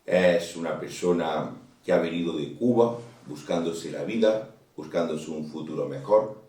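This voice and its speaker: A man hosting his own channel and interviewing a guest, asking short, measured questions. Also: deep voice, mellow, far from the mic. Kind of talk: casual interview. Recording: roomy, echoey, voice away from mic.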